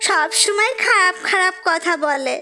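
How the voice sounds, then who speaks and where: A woman's voice: very high voice, animated, unhurried, bright, forceful tone, exaggerated voice. A woman voicing a whiny, spoiled younger daughter in a cartoon. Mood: whining. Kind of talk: cartoon voice acting.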